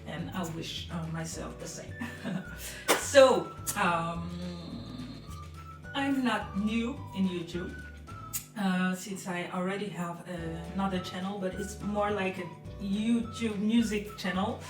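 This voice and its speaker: A woman's voice: mid-range voice, takes it slowly. Hesitant French-accented woman, roomy distant sound. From France. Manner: hesitant, many fillers, soft laughs. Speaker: vlogger. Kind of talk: casual chat to camera. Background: music behind.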